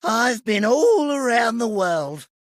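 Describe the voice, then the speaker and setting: A woman voicing a gleeful, boastful, scheming treasure thief in a video game, with a raspy, gravelly voice and theatrical delivery. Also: a little high, sing-song, slow, dry recording, clean studio sound.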